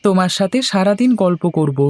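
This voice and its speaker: A man plays a young husband from a cartoon, a barber with a fairly high voice. He is good-natured and eager to please, talking in a playful, teasing way. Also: animated, moderate pace, darkish tone, dry recording. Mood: affectionate, playful. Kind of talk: Bengali cartoon dialogue.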